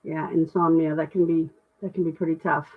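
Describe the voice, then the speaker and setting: Middle-aged woman with a deep voice, casual and candid livestream host, thin phone-quality audio. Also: level tone, fairly quick, husky. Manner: chatty, self-deprecating, candid. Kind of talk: casual livestream chat reading comments.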